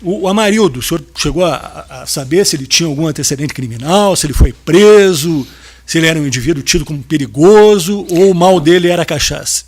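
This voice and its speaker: Husky man questioning a witness in court. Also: a little high, lively intonation, quick pace. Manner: formal and methodical, with short quiet acknowledgments. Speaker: questioner at a jury trial.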